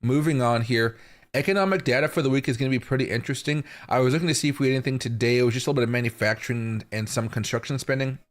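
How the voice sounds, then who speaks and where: A man with a hoarse voice from a chest infection, hosting a live market commentary stream at an unhurried pace with frequent pauses and fillers. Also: mid-range voice. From Seattle area, US. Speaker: crypto market livestream host.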